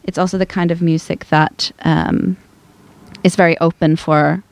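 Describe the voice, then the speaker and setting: A woman from Sweden talks casually in a dry studio setting. Her voice is lowish and gravelly with fry. She speaks informally and hesitantly, working out her thoughts as she goes. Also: fairly even intonation, measured pace, dry recording. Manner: informal, hesitant, thinking aloud. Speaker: guest singer.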